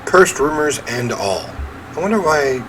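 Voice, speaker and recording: A man's voice: a little high, big pitch swings, measured pace. A man hosting a gaming video, reading game text aloud and chatting with informal asides, with noise behind his voice. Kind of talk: casual let's-play commentary, reading game text aloud.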